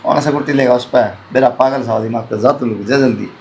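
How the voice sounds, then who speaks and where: An actor playing a skeptical, dismissive village man in a comedy sketch, talking gruffly in a Haryanvi accent. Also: medium pitch, steady tone, brisk, a little hiss or hum, natural voice.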